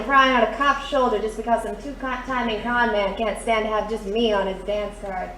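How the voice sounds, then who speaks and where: An actress playing a brassy, defiant, hard-drinking dame in a noir radio drama, wisecracking in tough slang. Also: female voice, on the high side, sharp tone. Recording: roomy, distant, faint background noise.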